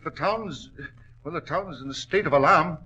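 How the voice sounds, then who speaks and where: An actor playing a devoted, worried mine hand, earnest and deferential, in a 1940s radio drama. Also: male voice, a little high, moderate pace, pushed and bright, husky. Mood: alarmed. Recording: dull old-time radio recording.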